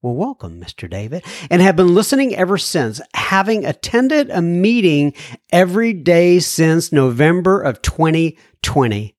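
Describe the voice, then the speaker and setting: Man, podcast host, reads listener letters, slightly high voice, dry close sound. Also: very animated, moderate pace, dry recording. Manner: warm, folksy, frequent filler restarts. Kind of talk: reading listener letters with asides.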